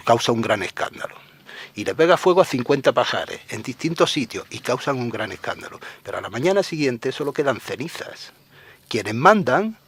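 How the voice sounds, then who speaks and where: A man from Spain talks as a journalist guest on a radio interview. His voice sits mid-range, and his delivery wavers between hesitant trailing off and forceful, pessimistic argument. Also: mid-range voice, slightly rough. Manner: trails off, then presses points forcefully and pessimistically.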